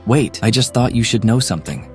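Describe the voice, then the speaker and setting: A man's voice: mid-range voice, animated, fairly quick, darkish tone, close to an everyday voice. Man playing a confident, nonchalant teenage boy. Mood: smugly warning. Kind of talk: amateur voiced teen romance drama. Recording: music behind the voice.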